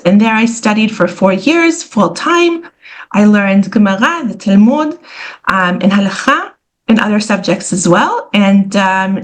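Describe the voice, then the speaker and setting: A woman born in Israel and raised in the United States, a scholar answering interview questions in a clear, explanatory way. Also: medium pitch. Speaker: scholar and guest.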